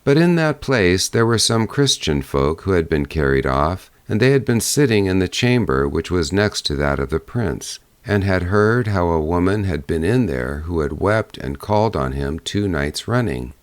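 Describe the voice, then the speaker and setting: A man narrating a fairy tale as an audiobook, in a measured storytelling manner. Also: sits low, animated, dry recording.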